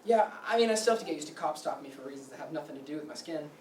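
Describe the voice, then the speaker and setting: An actor playing a chatty, good-humored doctor in a staged play reading. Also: male voice, on the high side, expressive, rapid-fire, lightly acted. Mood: upbeat. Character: chatty, good-humored male doctor, old childhood friend. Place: meeting room staged reading, distant camera. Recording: echoey room from far off, noisy.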